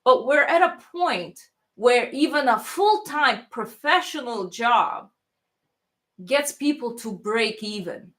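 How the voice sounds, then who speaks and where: A woman's voice: a little high, animated, slow, a bit bright. Chatty woman with a mixed Toronto accent. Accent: mixed Toronto accent with a slight Russian trace. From Russia, raised in Toronto, Canada. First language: Russian. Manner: rambling, chatty, with many fillers and restarts. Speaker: livestream host thanking donors. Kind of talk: casual livestream chat.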